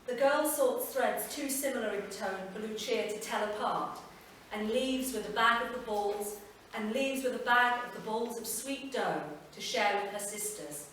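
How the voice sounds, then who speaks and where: A woman's voice: on the high side, measured pace, fairly bright tone, slight background noise. Distant echoey woman reading a story. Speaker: reader. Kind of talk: reading a literary short story aloud. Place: live reading at a festival event. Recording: echoey room, voice from far off.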